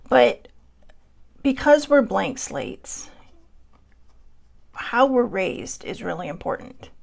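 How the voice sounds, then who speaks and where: An American woman with heavy vocal fry gives personal commentary on a livestream. Her pitch sits in an average range, and she talks earnestly, pausing to reflect and repeating phrases for emphasis. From United States. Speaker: livestream host. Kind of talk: personal, heartfelt spoken commentary.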